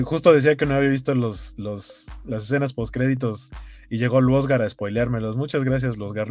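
A man's voice: medium pitch, a little mellow. A man with a Mexican accent chatting informally and animatedly as co-host of a gaming news show. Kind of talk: casual chat, reading viewer comments. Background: music behind the voice.

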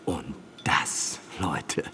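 A man's voice: drawn-out words, pushed and bright, in a whisper, full character voice. An actor playing a vain, self-important scientist who confides in his listeners in a radio play. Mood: smug insistence. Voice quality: husky. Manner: confiding, addresses listeners as pals. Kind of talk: radio play, first-person account.

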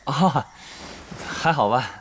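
A man's voice: mid-range voice, animated, slow, slightly rough. An actor playing a guarded young male gamer in an audio drama, speaking in a dry, understated, probing way. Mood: reserved. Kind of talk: Chinese audio drama acting.

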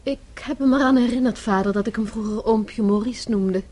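Mellow, husky, cool young daughter. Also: female voice, on the high side, fairly quick. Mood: cool, pointed. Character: cool, loyal, vengeful grown daughter. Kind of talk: radio play acting.

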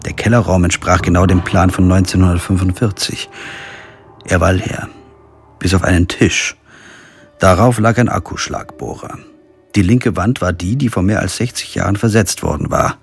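Radio crime drama: measured lawyer-narrator, low rough-edged voice, soft music underneath. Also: male voice. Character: sober male lawyer telling his own crime story.

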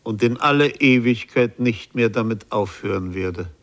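An actor playing a haunted Parisian bookseller, narrating his fantastical ordeal in a literary, deliberate and intense radio play style. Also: mid-range voice, natural voice. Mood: resigned, reflective. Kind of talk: dramatic first-person radio play narration.

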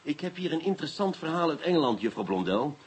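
An actor playing a sly police inspector, mock-polite and insinuating, in a Dutch radio play. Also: male voice, on the high side, very animated, fast talker, slightly rough. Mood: sly, threatening. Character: sly, sardonic male police inspector, coolly coaxing.

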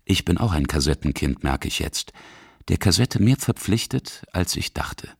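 A man narrating a scripted radio feature in a husky voice. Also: sits low, animated, normal speed, very noisy.

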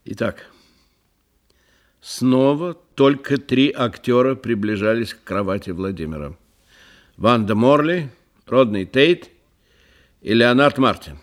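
An actor playing a businesslike police inspector in a radio play, speaking matter-of-factly. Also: male voice, medium pitch, expressive, slow, pauses often. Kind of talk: dramatic radio play dialogue.

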